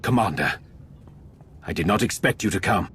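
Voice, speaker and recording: A man's voice: low-pitched, animated, moderate pace, a bit bright, slight background noise. A man voicing a solemn military team leader in a video game, formal and restrained. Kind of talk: scripted video game acting.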